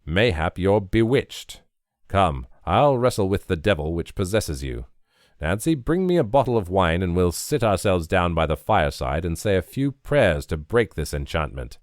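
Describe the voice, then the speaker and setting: A man's voice: sits low, very animated, moderate pace, no echo, clean studio sound. A man playing a stern, lordly old churchgoing man who talks as if preaching. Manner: pompous, preachy.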